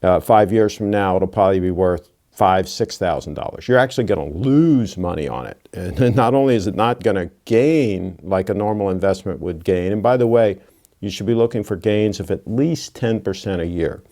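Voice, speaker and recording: A sixty-year-old man talks straight to his viewers in a blunt, lecturing way, tossing in folksy asides. His voice sits low and sounds dark and mellow. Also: moderate pace. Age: 60s. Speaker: watch channel host. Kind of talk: casual monologue to camera.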